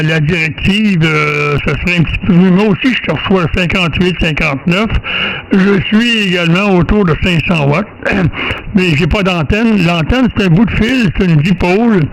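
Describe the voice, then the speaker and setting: A man with a Quebec French accent and a fairly high voice talks casually as a ham radio operator. His delivery is a bit hesitant, and faint noise sits behind him. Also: vocal fry, fairly bright tone, poor audio. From Quebec, Canada. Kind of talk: casual radio contact chat.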